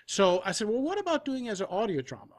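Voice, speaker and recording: A man's voice: high voice, huge pitch swings, fast talker, no echo, studio quality. A man chatting casually as an interview guest, an audio drama producer recounting how things came about. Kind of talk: casual anecdotal interview chat.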